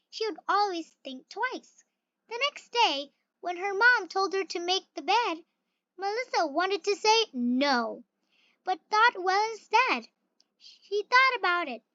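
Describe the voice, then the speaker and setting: A reader with an expressive, carefully phrased delivery, reading a children's picture book aloud. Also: extremely high pitch, no room sound, highs cut off. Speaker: story reader.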